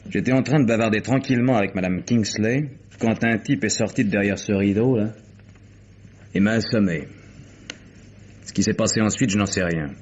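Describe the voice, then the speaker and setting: An actor playing a cool, sardonic private detective in a French radio crime drama, speaking in a dry, measured, needling way. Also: male voice, on the low side, darkish tone, close to an everyday voice. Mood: composed, matter-of-fact. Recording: dull, faintly noisy old radio recording.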